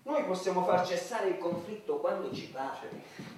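An actor playing a blustering, warlike military official in a satirical play, repeating himself emphatically. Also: male voice, high voice, animated, a little slow, a little mellow. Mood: agitated. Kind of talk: satirical stage acting. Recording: very echoey, distant, faint noise.

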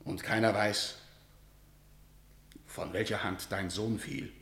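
An actor playing a grim messenger of defeat in a radio drama, declaiming solemn stage verse with a rhetorical manner. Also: male voice, lowish voice, steady tone, a bit bright, roomy sound. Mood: grief-stricken, subdued. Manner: solemn, rhetorical, measured delivery. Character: grim male battle messenger bearing news of catastrophic defeat.

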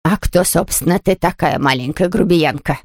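A woman's voice: deep voice, steady tone, fairly quick, creaky, no echo, studio quality. A woman voicing a peevish, self-pitying bedridden woman in an audiobook, grumbling and haughty in a languid way. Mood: irritated. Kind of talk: audiobook character voice.

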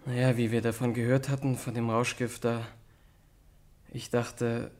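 An actor playing a timid, contrite young male student suspect in a radio drama, speaking haltingly and earnestly. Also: medium pitch, little pitch movement, a little mellow, natural voice. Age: young adult. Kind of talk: German radio drama acting.

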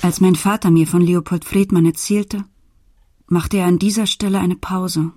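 A woman's voice: fairly low pitch, steady tone, moderate pace, a little mellow, dry recording, close to an everyday voice. An actress playing a pensive, troubled mother who narrates her family's story in restrained, literary radio drama style.

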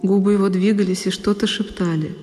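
A woman's voice: medium pitch, little pitch movement, brisk, mellow. A woman giving an emotive, theatrical first-person narration of a literary drama. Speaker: solo performer, narrator. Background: music.

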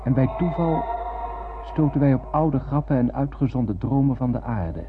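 A man's voice: mid-range voice, animated, normal speed, natural voice. An actor playing a spaceman who tells of his voyage in a poetic, storytelling way in a radio play. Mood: wondering narration. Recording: dull, noisy radio play recording.

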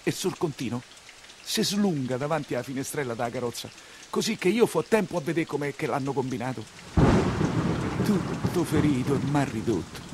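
Animated Roman coachman, acted by a man, earthy storyteller. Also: mid-range voice, moderate pace, background noise, lightly acted. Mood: breathless, anxious. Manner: earthy, emotional, colloquial storytelling. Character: Ninetto, excitable humble 19th-century Roman coachman telling his story. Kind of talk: theatrical monologue in dialect.

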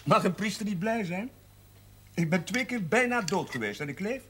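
A man playing a cheerful, warm-hearted young priest who laughs easily and gets exuberant. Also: high-pitched, animated, normal speed, slight background noise. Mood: joyful. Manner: exuberant, laughing, breaks into song. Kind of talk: 1970s television drama.

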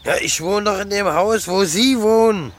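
A man's voice: high voice, normal speed. An actor playing a tipsy, cynical male neighbour in a radio drama, blunt and given to grumbling philosophy. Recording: clear background noise, outdoor ambience.

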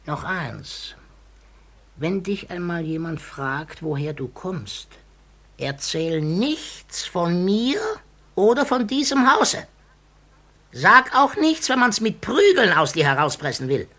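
An actor playing a wily old master of child pickpockets in a theatrical, wheedling 1950s radio drama manner. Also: male voice, sits high, sing-song, slow pace, bright, forceful tone, full character voice. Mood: menacing. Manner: theatrical, wheedling, cackling, repeats words. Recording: noisy 1950s radio recording.

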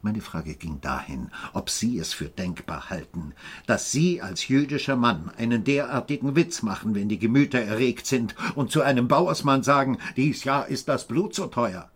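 An actor playing a stern, world-weary small-town official, measured and deliberate, in a German radio play. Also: male voice, medium pitch, lively intonation, slightly rough. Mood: stern, probing.

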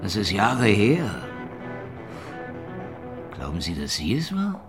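An actor playing a wary, laconic ex-convict in a hospital bed, terse, weary and sardonic, in a radio crime drama. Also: male voice, fairly low pitch, wildly swooping pitch, takes it slowly, music in the background, heavily acted.

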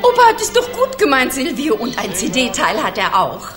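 A woman playing a fussy, indulgent, excitable mother in a German children's radio play. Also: high-pitched, normal speed, music in the background. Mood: doting.

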